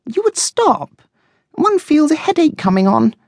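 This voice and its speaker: A woman's voice: high voice, big pitch swings, normal speed, no echo, studio quality, voice pushed up. A woman voicing a snooty, vain female guinea pig with a posh, disdainful manner in a children's storybook. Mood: haughty complaint.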